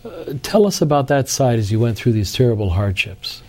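A male interviewer with a mellow, warm voice asks his guest reflective questions about faith. His manner is gentle and encouraging. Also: mid-range voice, very animated, a little fast. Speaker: interview host. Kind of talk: religious interview.

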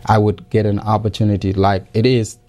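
Low, flat-voiced man with careful speech. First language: not English. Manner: many fillers and restarts, careful, measured. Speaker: interview guest. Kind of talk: hesitant conversational interview answers.

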